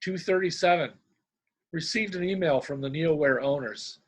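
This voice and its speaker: A middle-aged man from Indiana, a humor columnist with a deadpan manner, sharing his work. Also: a little high, normal speed, husky. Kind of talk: reading a humorous diary-style column, then casual talk.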